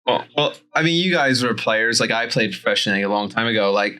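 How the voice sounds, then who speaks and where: Bright, forceful man in casual coach talk. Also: fairly low pitch, animated, quick pace. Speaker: esports coach and former pro player. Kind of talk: casual round-table discussion.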